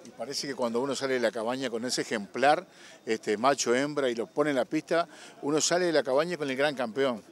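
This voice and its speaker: A man's voice: mid-range voice, fairly quick. A man with a Uruguayan accent interviewing people conversationally outdoors. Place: outdoors at a showground.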